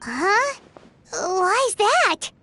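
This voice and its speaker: A woman voicing a small, childlike, whiny and excitable fairy companion in an animated fantasy game, with a squeaky voice. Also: drawn-out words, full character voice. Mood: excited. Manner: talks about self in third person, whiny. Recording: music behind the voice.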